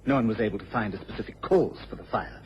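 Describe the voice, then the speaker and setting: A man's voice: fairly low pitch, fast, darkish tone, natural voice. A man playing an earnest, respectful young English police inspector in a radio drama. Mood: earnest, explanatory. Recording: dull vintage radio recording, faint noise.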